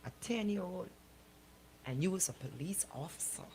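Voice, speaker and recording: Creaky-voiced, blunt woman in casual banter. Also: lowish voice, animated, moderate pace, dark tone, a little hiss or hum. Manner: blunt, exclamatory, colloquial. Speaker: radio show host. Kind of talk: casual studio banter and news commentary.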